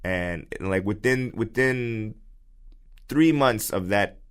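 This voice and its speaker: Man, low-ish voice, stand-up comedian, casual, repetitive storytelling. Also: slow pace, clean tone. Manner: storytelling, repeats words, acts out quotes. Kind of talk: casual podcast chat.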